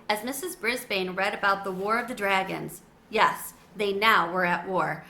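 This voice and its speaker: A woman reading a children's chapter book aloud with expressive, animated storytelling. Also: medium pitch. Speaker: storybook reader. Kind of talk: children's read-aloud narration.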